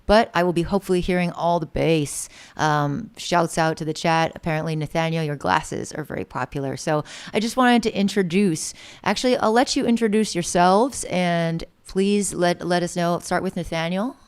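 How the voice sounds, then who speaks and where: A woman's voice: fairly low pitch, moderate pace, few pauses, smooth. Chatty woman hosting a music stream. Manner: chatty, enthusiastic, loose with fillers. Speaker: livestream host. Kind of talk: upbeat casual stream hosting.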